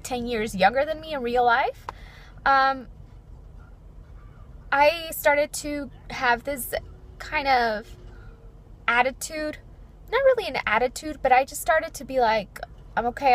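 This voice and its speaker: A woman in her twenties, talking earnestly and chattily to her viewers, with a fairly deep voice. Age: 20s. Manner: earnest, chatty, frequent "like" and "you know". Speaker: beauty vlogger. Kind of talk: personal reflective chat to camera.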